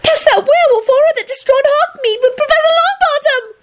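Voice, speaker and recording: An excitable teenage schoolgirl from a storybook. The voice leaps far up into a shrill, nasal squeal. It gushes and wails with great melodrama. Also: brisk. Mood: gossipy, excited. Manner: squealing, wailing, gushing.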